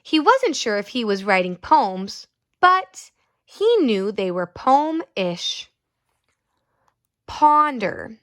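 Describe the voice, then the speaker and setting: Female teacher for children's read-aloud, highly expressive, slow deliberate delivery, child-directed. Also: no echo, clean studio sound.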